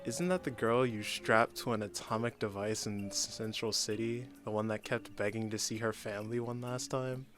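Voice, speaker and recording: A man's voice: on the low side, steady tone, normal speed, few pauses, fairly bright tone, soft background music, close to an everyday voice. A man playing a defensive, wry supervillain with a slangy, sardonic way of talking in a comedic radio play.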